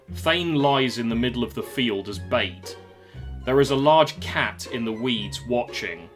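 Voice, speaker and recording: Male narrator for story audio; middle pitch, scripted delivery, clear music bed underneath. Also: fairly bright tone.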